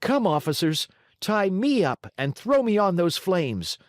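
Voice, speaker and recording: A man voicing a brave, pleading little wooden boy puppet in a high, piercing voice. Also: very animated, measured pace, dry recording, clean studio sound.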